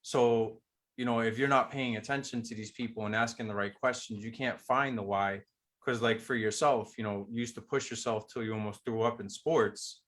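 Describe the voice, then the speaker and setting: Measured, reflective mid-voiced man. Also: mid-range voice, fairly even intonation, fairly bright tone. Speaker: participant in a coaching call. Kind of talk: casual group discussion.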